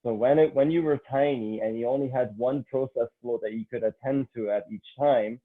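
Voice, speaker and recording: A Canadian man hosts an online conversation, restating the guest's points and setting up questions with frequent restarts. His voice sits at a middle pitch. The audio is narrow and tinny, like a phone line. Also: brisk. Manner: summarizing and framing questions, repeated starts. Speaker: host. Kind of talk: online interview.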